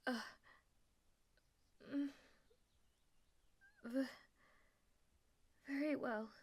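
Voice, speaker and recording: Woman acting a delusional schoolgirl, high voice, melodramatic, grandiose, easily flustered, audio roleplay. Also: steady tone, very slow, a little mellow. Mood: flustered, timid. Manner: theatrical, grandiose formal phrasing with flustered stammering. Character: melodramatic, delusional schoolgirl who fancies herself a demon lord's aide.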